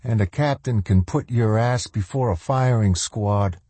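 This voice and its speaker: A man voices a hostile captain, low and dark in tone. He is a controlled disciplinarian who speaks curtly and coldly, with threat in his words, in a dry audiobook recording. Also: a little slow, slightly rough, no room sound. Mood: threatening. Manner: cold, curt, threatening.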